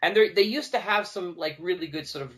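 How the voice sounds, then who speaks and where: A man talking casually as a podcast guest, a teacher who tells stories in an animated way and acts out what people say. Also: high voice, brisk. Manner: animated storyteller, acting out quoted remarks. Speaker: podcast guest, teacher and audio drama producer. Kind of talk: casual conversational interview talk.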